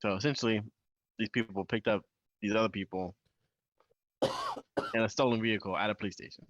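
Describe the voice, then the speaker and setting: A man's voice: fairly low pitch, brisk, fairly bright tone, no room sound. A young man co-hosting a podcast in a laid-back, easygoing way, chatting and reading out news stories. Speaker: podcast co-host. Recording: dull lo-fi sound.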